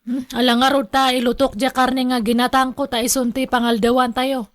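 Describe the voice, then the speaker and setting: Radio drama casting: nagging village wife and mother played by a woman, complaining, high voice, dry close sound. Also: flat delivery, fairly quick, dry recording, close to an everyday voice. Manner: complaining, sharp-tongued.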